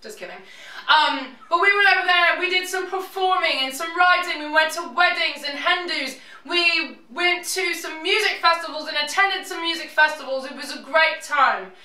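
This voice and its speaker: Bright high woman with a put-on British accent. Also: normal speed. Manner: jokey, upbeat, self-mocking asides. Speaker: host of a live music show. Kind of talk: playful show introduction. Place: living room show space in a house. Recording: roomy sound, mic at a distance.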